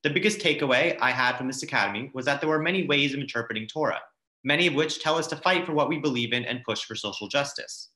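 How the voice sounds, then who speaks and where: Bright-voiced teenage boy reading in an echoey room. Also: medium pitch, fast talker. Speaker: confirmand giving a speech. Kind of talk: prepared speech read aloud. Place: home dining room, indoors. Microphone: computer mic on a video call. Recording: echoey home video call.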